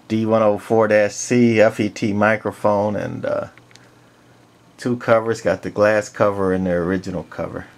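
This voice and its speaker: A man giving a casual, unscripted walk-through in a halting, matter-of-fact way, like a hobbyist collector presenting his gear. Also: lowish voice. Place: indoors, small room. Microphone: handheld camcorder's built-in mic.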